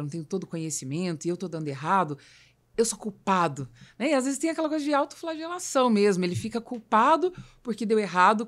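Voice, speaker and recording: A Brazilian woman speaks as a podcast host in a conversational style. She moves along at a fast pace with a medium-pitched voice, explaining ideas as she goes. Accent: Brazilian Portuguese. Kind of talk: conversational business podcast discussion.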